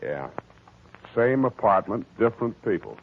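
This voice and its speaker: An actor playing a wry, world-weary private detective in clipped 1940s radio style. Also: male voice, fairly low pitch, very animated, measured pace, slight background noise. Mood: stubborn. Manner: clipped, wry, matter-of-fact. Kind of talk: 1940s radio detective acting with first-person narration. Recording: tinny vintage radio broadcast, music behind.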